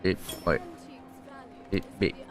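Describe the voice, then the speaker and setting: A man streaming a video game, chatting casually and offhandedly as if talking to himself. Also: slow. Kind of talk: casual muttered gameplay chat. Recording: stream voice over game music.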